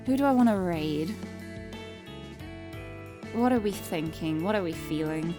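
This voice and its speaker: A young woman streamer chatting casually and cheerfully, her voice getting a little hoarse and tired. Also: fairly low pitch, animated, unhurried. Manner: bubbly, chatty, restarts words often. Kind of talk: casual live-stream chat. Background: background game music.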